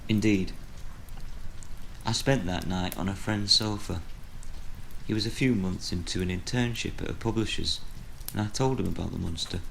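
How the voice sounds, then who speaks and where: Low, gravelly retired horror storyteller. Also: male voice, a little hiss or hum, poor audio. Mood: reflective. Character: retired horror storyteller, older man, wry and brooding. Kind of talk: audio drama dialogue and storytelling.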